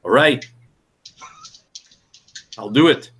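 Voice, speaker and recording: A man voicing a hurried male smuggler with a Hungarian accent, curt and pressing. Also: medium pitch, a bit bright, slightly rough, exaggerated voice. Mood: urgent.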